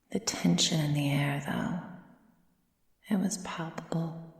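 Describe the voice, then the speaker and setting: A woman playing an ancient, proud, possessive aristocratic vampire in a soft, breathy ASMR roleplay with formal, measured delivery. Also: deep voice, heavily acted. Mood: brooding, hushed. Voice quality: breathy, soft, often near whisper. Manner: slow, formal, measured, imperious. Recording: roomy sound with long reverb.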